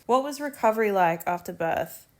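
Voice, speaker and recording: A woman's voice: mid-range voice, animated, normal speed. A young woman, chatting candidly and laughing easily as she shares her birth story. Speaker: new mother sharing birth story. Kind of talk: casual chat.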